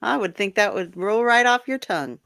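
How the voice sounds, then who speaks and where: A woman's voice: medium pitch, expressive, moderate pace, gravelly, fairly bright tone. A middle-aged woman who is a guest on a casual chat show, easygoing and laughing often.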